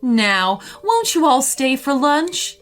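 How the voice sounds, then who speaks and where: Woman as a doting, animated mother Tyrannosaurus. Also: high voice, slow pace, faint music behind, pitched above the performer's own voice, exaggerated voice. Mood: delighted. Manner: affectionate, gracious.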